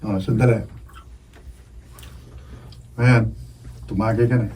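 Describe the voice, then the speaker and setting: A man's voice: fairly low pitch, fairly even intonation, slow, slight background noise. A man playing a teasing, pushy young friend and suitor in a radio drama, with a playful, cajoling manner. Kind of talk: Filipino radio drama acting. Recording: mellow, distant room mic.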